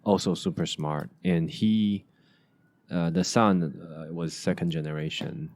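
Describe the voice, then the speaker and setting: Low-voiced halting man. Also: darkish tone, no room sound. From Asia. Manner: halting, many restarts and fillers. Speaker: podcast host. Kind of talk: casual conversation.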